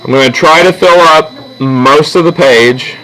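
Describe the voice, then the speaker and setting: Bright-voiced man teaching an art class. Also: fairly high pitch, measured pace, rough recording. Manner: casual, checking in with listeners often. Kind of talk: step-by-step drawing lesson to a class.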